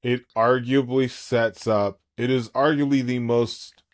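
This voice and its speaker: A man in his late thirties chatting casually as a podcast co-host, an animated and gushing fan. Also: mid-range voice, measured pace. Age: 30s.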